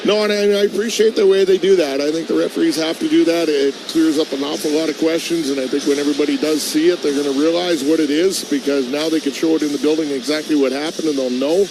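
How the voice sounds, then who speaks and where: A man's voice: fairly high pitch, fairly even intonation, fast, few pauses, background noise. A man with a North American accent giving conversational live hockey analysis as a color commentator in a booth. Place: broadcast booth at a hockey arena.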